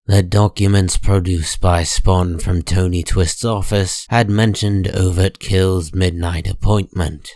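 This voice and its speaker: A man narrating a scripted comic story in a measured, deliberate dramatic style. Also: deep voice, steady tone, a little mellow, no echo, clean studio sound.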